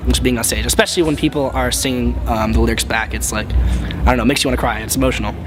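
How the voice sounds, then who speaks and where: Teen male musician, casual interview, talks fast and rambles, music bed underneath. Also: mid-range voice, steady tone. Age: late teens. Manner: rambling, many fillers and restarts.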